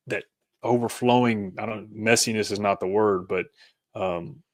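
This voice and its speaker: A man with a slightly low voice talks as a guest in a reflective interview. The sound is close and dry, and he feels his way haltingly toward each phrase, often starting it again. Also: fairly even intonation, dry recording. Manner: halting, searching for words, repeats phrase starts. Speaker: podcast guest, author.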